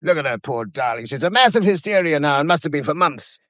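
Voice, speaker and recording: An actor playing a stubborn, brusque adventurer husband in a period drama, speaking insistently and declaiming. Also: male voice, a little high, lively intonation, fast, a bit bright, dry recording, highs cut off. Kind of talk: period radio drama acting.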